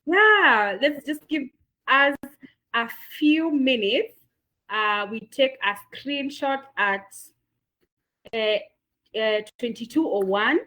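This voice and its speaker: A woman's voice: high-pitched, animated, takes it slowly, long pauses, bright, forceful tone, poor audio. A woman with a Kenyan accent moderating the close of an online masterclass, enthusiastic, with lots of fillers and repeated words. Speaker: session moderator. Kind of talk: closing remarks on an online masterclass.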